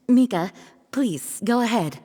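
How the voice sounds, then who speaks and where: A woman's voice: on the high side, expressive, drawn-out words, dark tone, big-room sound. A woman voicing a composed, courteous female knight commander in a video game, formally addressing an assembly. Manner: formal, polite. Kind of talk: video game character acting.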